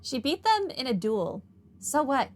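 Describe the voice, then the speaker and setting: Animated, high-voiced woman gamer. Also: a little slow. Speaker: gaming streamer. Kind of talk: playthrough commentary and reading dialogue aloud.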